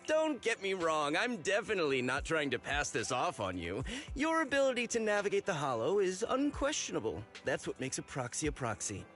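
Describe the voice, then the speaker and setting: An actor playing a smooth-talking male intelligence broker in a video game, persuasive and explanatory like a salesman. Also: fairly high pitch, wildly swooping pitch, brisk, fairly bright tone, faint music behind. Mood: persuasive, reassuring.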